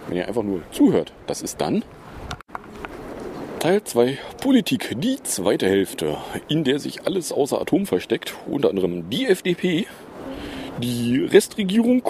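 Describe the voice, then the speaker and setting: A man's voice: medium pitch, a little mellow, slight background noise. A man hosting a casual news podcast, rambling and sarcastic, with many fillers. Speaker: podcast host.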